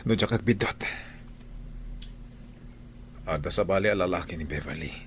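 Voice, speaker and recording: A man's voice: low-pitched, moderate pace, lightly acted. A man with an Ilocano accent acting a troubled, suspicious drinker in a radio drama. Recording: radio drama with clear vehicle noise.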